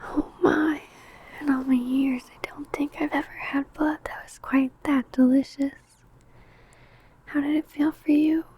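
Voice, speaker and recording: A woman playing a possessive, predatory vampire in an ASMR roleplay, in a breathy, teasing, menacing whisper. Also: high-pitched, warm tone, exaggerated voice, poor audio. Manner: slow, intimate, savouring phrasing.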